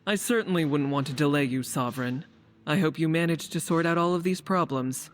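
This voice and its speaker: Man acting secretive rebel leader, fantasy game, higher male voice, calm, evasive, long reverb. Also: fairly quick, a little hiss or hum, lightly acted. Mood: polite. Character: guarded, secretive leader of a hidden group.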